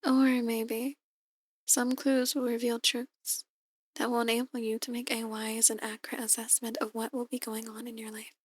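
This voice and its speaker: A woman playing a soft-spoken, ominous demon tarot reader in an ASMR roleplay, speaking in a measured, breathy near-whisper. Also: a little high, little pitch movement, dry recording. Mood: calm, solemn. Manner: slow, measured, gently ominous. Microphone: close, dry microphone.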